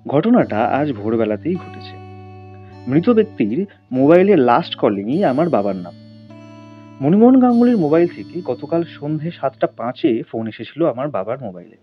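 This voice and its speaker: A man's voice: medium pitch, husky, dry recording, muffled recording. A man narrating a scripted audio story in a dramatic storytelling style.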